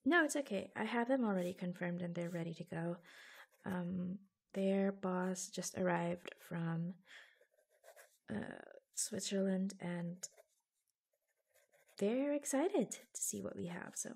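A woman acts out a reassuring, affectionate secretary in an ASMR roleplay. Her voice is soft and breathy, often falling to a whisper, with a crackle of vocal fry. She takes her time, hesitating gently between short phrases. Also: fairly low pitch, takes it slowly, pauses often, heavily acted. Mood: soft businesslike.

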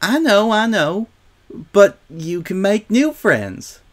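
A man voicing a warm, curious young filly with folksy country talk in a storybook reading. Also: high-pitched, big pitch swings, takes it slowly, pitched above the performer's own voice, heavily acted. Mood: gentle, consoling.

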